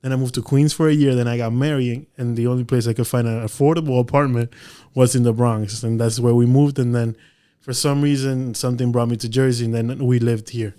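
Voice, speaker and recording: A man's voice: medium pitch, fairly even intonation, brisk, mellow. A man from the Dominican Republic hosting a podcast, talking casually with his guest. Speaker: podcast host. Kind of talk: casual interview chat.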